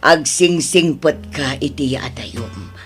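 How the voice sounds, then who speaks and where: A woman playing a kindly, devout elderly mother in an Ilocano audio drama, gentle and motherly, with an Ilocano accent. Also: sits low, takes it slowly. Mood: consoling. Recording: music under the voice.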